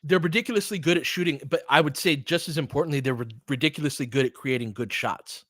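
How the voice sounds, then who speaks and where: A man's voice: fairly high pitch, fast, fairly bright tone, dry recording, clean studio sound. Man calling basketball in clipped, casual phrases. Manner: clipped short phrases, conversational asides; partisan fan talk, says "we" of the team. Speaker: basketball play-by-play commentator. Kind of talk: casual live play-by-play commentary with analysis.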